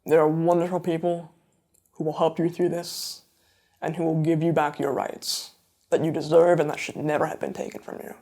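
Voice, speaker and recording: A woman's voice: low voice, flat delivery, moderate pace, mellow. A woman in her twenties speaks candidly about her own experience. She talks emphatically, in a loose conversational way with casual fillers. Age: 20s. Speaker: interviewee. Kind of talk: personal testimony.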